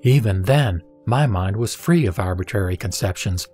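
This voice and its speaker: Guy playing a serene wise teacher in a sutra audio drama, solemn and measured, faint music. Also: on the low side, animated, darkish tone, natural voice. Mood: solemn teaching. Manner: solemn, measured, didactic delivery. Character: the Buddha, serene, authoritative male spiritual teacher instructing a disciple.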